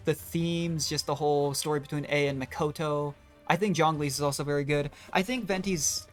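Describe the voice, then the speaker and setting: A young man giving casual, rambling live commentary as a game streamer. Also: fairly high pitch. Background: game music.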